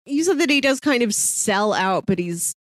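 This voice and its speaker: A woman's voice: fairly high pitch, sing-song, normal speed, a bit bright, no echo. A woman co-hosting a podcast, casually talking through a TV series and animatedly retelling it with acted-out quotes. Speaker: podcast co-host.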